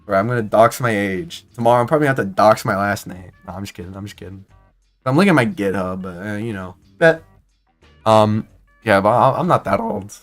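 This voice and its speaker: A man in his twenties streaming casual commentary, rambling and excitable with many restarts and fillers. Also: fairly low pitch, a little fast, soft background music. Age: 20s.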